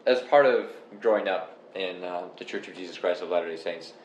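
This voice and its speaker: A young man from Canada chatting casually and explaining things step by step in a relaxed, rambling way. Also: sits low, fairly quick. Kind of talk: casual chat. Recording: echoey room with faint noise.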